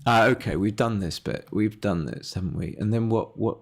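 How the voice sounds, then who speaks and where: Low-pitched man thinking aloud on a livestream. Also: moderate pace. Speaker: livestreamer analysing his backgammon games. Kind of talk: casual live game commentary.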